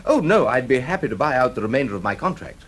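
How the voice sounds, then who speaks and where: An actor playing a polite, eager production manager with a British accent and a husky voice, in a radio drama. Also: male voice, mid-range voice, very animated, quick pace. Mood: pleading. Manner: hesitant, well-mannered.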